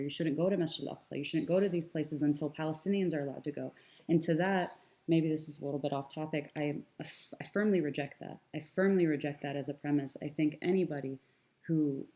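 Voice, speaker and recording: A young American woman talking with a low, mellow voice. She speaks earnestly and thoughtfully, and often pauses on fillers and restarts her sentences. She sounds like a guest in a relaxed panel discussion. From United States. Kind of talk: conversational panel talk.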